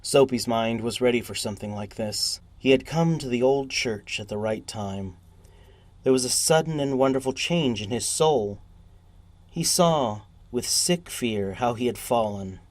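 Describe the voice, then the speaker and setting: A man reading a short story as a narrator, in deliberate, measured phrases. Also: mid-range voice, dry recording.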